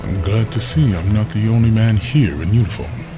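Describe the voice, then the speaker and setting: A man playing a composed admiral who speaks calmly and with authority. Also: on the low side, normal speed, close to an everyday voice. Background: music.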